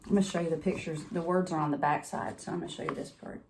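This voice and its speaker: A woman's voice: sits low, fairly even intonation, racing, background noise. A woman storyteller reading a children's picture book aloud at bedtime, expressively, with chatty asides.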